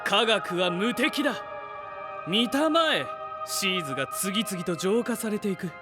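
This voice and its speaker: Cocky theatrical scientist boy, high and swoopy, anime audio drama w music behind. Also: animated, unhurried. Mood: proud, showy. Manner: grandiose, self-assured, declarative. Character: confident, theatrical young male scientist-guardian inventor. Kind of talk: anime-style voice drama acting.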